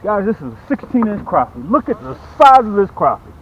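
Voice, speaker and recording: A man's voice: high-pitched, big pitch swings, a little fast, breathy edge, phone-quality sound. A man hosting a fishing show outdoors, chatting in an exclamatory way and repeating his phrases for emphasis as he demonstrates tackle. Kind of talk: excited fishing vlog chat, demonstrating and selling tackle.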